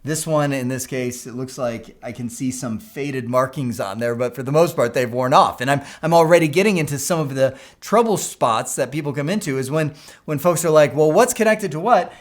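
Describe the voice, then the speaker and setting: A man's voice: mid-range voice, moderate pace, hardly any pauses. A man instructing in an informal, conversational technical explainer style, often checking in with the listener as he goes. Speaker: instructor explaining school PA systems.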